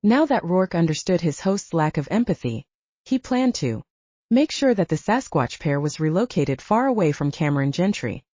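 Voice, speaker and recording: A woman's voice: fairly low pitch, big pitch swings, moderate pace, mellow, no echo. A woman reading a scripted story as an audiobook narrator, in slightly dull audio.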